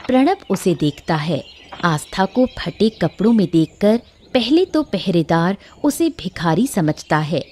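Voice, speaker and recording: A woman's voice: on the low side, a little mellow, slight background noise. A woman narrator reading a scripted moral story.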